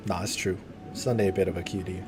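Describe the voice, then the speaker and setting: Low-pitched man, casual streamer, music behind. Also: steady tone, fast. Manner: slangy, laid-back, joking. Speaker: game streamer. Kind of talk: casual reaction commentary.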